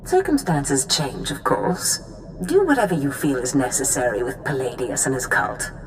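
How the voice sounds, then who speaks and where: Imperious Sith master, very low female voice, expressive swings, composed, scheming, game cutscene. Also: moderate pace, a little hiss or hum. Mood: coolly calculating. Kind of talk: scripted game dialogue.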